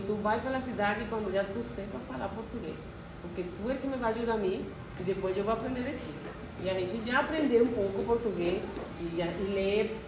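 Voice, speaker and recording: Venezuelan woman speaking earnest Portuguese. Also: medium pitch. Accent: Venezuelan Spanish. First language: Spanish. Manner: earnest, mixes Spanish words into Portuguese. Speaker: adult learner, parent. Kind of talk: casual group talk. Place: school learning space. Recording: roomy and distant with background noise.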